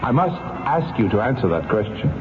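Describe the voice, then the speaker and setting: A man's voice: medium pitch, sing-song, a little fast, over music, natural voice. A stern, insistent courtroom prosecutor acted by a man in a vintage radio play. He is formal and emphatic, with a wide-swinging mid-range voice. The sound is phone-like and rings in a long hall reverb. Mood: stern, accusing. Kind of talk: 1970s radio drama acting. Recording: muffled old radio, echoey hall reverb.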